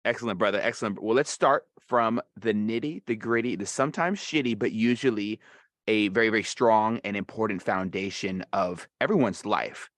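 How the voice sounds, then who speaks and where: A man's voice: on the low side, moderate pace, a bit bright, dry recording, clean studio sound. A man hosting a podcast, reading an upbeat intro and ad before interviewing his guest with emphatic presenter energy. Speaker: podcast host.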